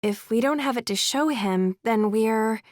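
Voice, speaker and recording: Woman playing an anxious, skeptical higher-voiced schemer. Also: unhurried, darkish tone, dry recording, clean studio sound, close to an everyday voice. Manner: worried, skeptical. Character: anxious, fretting female community theater schemer. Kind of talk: full-cast audiobook dialogue acting.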